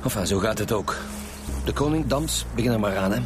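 A man's voice: on the low side, lively intonation, a little fast, music in the background, lightly acted. A man playing a dry, brusque homicide squad leader in a radio drama, with a Flemish accent.

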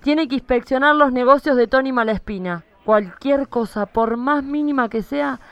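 A woman playing a crisp, commanding female judge. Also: high voice, moderate pace, a bit bright. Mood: stern, commanding. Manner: commanding, curt. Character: stern, impatient female judge, bossy and threatening. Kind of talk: live comedic radio play acting.

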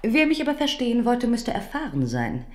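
High, coquettish young noblewoman, echoey. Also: huge pitch swings, brisk. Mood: coy teasing. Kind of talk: German radio drama acting. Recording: echoey, reverberant hall sound.

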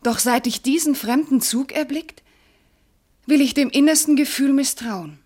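An actress playing a devoted, anguished noble wife in a classical radio drama, declaiming formal verse with fervour. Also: female voice, high voice, moderate pace, darkish tone, close to an everyday voice. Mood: pleading. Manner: declamatory, fervent, formal diction. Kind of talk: classical German stage verse in a radio play.

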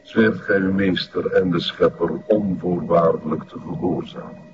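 An actor playing a solemn, commanding satanic cult leader in a television drama, speaking in a pompous, ceremonial manner. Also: male voice, low-pitched, steady tone, normal speed, very noisy, lightly acted. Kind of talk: television crime drama acting. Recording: muffled lo-fi sound, music behind.

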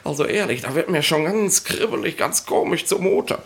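An actor in a German radio play playing a bossy, nosy, smug middle-aged police inspector who rambles colloquially. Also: male voice, fairly high pitch, expressive, moderate pace, vocal fry, husky, heavily acted. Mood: eager, prying. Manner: colloquial, rambling, officious, tag questions.

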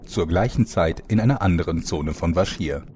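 A man's voice: low voice, moderate pace, darkish tone, slight background noise, lightly acted. A man playing the storyteller, reading scripted narration for a comedic fantasy audio drama. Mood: solemn. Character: male storyteller of a fantasy soap parody.

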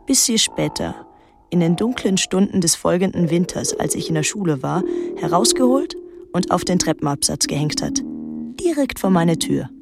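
An actress playing a pensive, detached young woman, narrating and talking in a literary radio drama in a measured, reflective way. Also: fairly low pitch, animated, vocal fry. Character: pensive young woman recalling her island summer, detached, introspective. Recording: music under the voice. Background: music.

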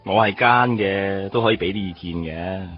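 A man playing a smooth-talking playboy suitor, coaxing and self-mocking in a radio drama. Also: low voice, fairly even intonation, normal speed, soft background music, natural voice. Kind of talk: Cantonese radio drama acting. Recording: dull lo-fi radio recording.

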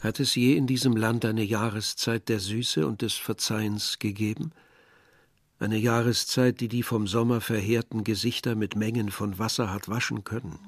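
An actor playing a reflective first-person narrator of a village youth, measured and pensive in a literary radio play. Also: male voice, lowish voice, steady tone. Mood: brooding.

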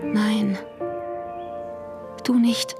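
A woman's voice: medium pitch, level tone, drawn-out words. An actress playing a fervent, defiant rebel woman leader in a radio drama, speaking urgently and insistently. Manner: impassioned, declamatory, insistent. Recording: music playing behind the voice.

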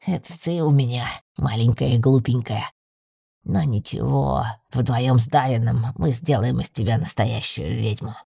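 A woman's voice: extremely low pitch, a little slow, creaky, dark tone, slightly rough, no echo, studio quality, pitched below the performer's own voice, exaggerated voice. A woman voicing a cheeky little male axolotl familiar, teasing and fondly patronizing in a self-assured way. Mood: smug.

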